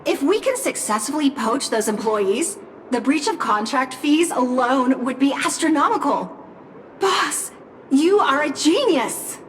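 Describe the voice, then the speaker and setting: A crisp, high-voiced business assistant. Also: female voice, expressive, slight background noise. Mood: scheming, upbeat. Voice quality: crisp, brisk reporting delivery. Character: efficient female business assistant, eager and calculating. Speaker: assistant to the boss. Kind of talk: game cutscene voice acting. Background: game music.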